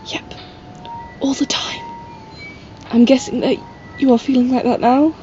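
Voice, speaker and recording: A woman's voice: sits high, flat delivery, unhurried, dark tone, faint music behind. A woman playing an anxious, trusting young adventuring companion in an amateur audio drama. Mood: curious. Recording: dull lo-fi audio.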